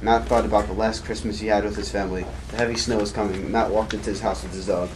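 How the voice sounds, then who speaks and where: A man's voice: on the low side, level tone, quick pace. A man narrating an amateur puppet show, reading hesitantly with frequent restarts. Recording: noisy amateur recording.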